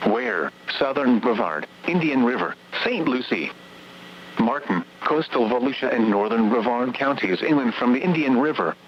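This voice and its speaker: Robotic guy reading weather alerts on the radio, dull muffled sound w/ some hiss, mid pitch. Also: animated. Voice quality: synthetic text-to-speech voice. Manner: formal, measured, segmented reading. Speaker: automated weather radio announcer. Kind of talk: scripted weather warning bulletin.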